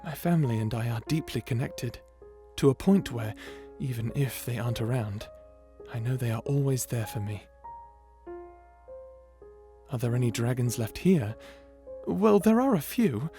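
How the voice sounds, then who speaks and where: A man's voice: mid-range voice, expressive, moderate pace, warm tone, faint music behind, close to an everyday voice. A man voicing a shy, polite dragon butler in an ASMR roleplay, hesitant and deferential, with formal speech. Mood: courteous, gently explaining. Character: shy polite old dragon butler, male, eager servant.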